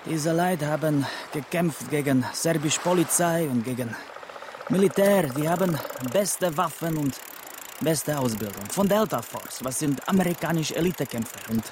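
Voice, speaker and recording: An actor playing a laconic Czech village police chief nearing retirement, speaking halting, broken German with a Czech accent and searching for words. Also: male voice, fairly high pitch, animated, background noise, lightly acted. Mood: resigned, matter-of-fact. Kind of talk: naturalistic radio drama acting.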